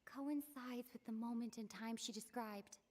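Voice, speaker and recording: A woman playing a calm, analytical young female traveler in a video game, reasoning aloud in a measured, pensive way. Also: fairly high pitch, in a large hall. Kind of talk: video game character dialogue.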